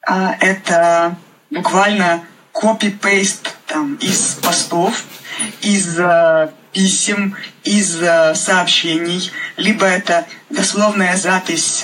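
A woman's voice: lowish voice, fairly even intonation, slow, a bit bright, slight background noise, rough recording. A woman from Ukraine, a playwright, speaking spontaneously in an interview and often searching for words.

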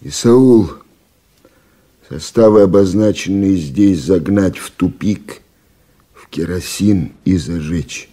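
A cold, bitter general. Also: male voice, deep voice, slow pace, mellow. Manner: curt commanding orders, bitter sarcasm. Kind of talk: archival radio play acting.

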